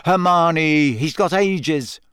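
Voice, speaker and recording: Man voicing a brash, animated teenage lad. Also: fairly high pitch, unhurried, no room sound, studio quality. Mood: snappy. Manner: casual, blunt. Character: brash, generous teenage schoolboy best friend.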